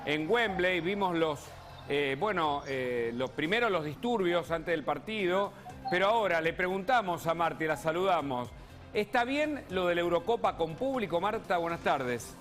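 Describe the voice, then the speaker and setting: Brisk high-voiced male anchor. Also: expressive, a bit bright, soft background music. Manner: brisk, introduces guests quickly. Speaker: news anchor. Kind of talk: live TV news talk.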